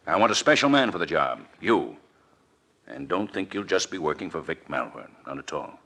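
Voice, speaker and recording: An actor playing an earnest, emphatic city official in a 1940s radio mystery. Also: male voice, sits low, big pitch swings, normal speed, sharp tone, slightly rough, heavily acted. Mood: urgent, insistent. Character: earnest, insistent male city official under pressure.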